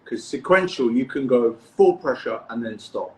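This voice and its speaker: A man from the UK, a freediving coach, hosting a casual podcast interview in a relaxed, encouraging way, often repeating words as he starts a thought. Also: medium pitch, normal speed, reverberant, slight background noise. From United Kingdom.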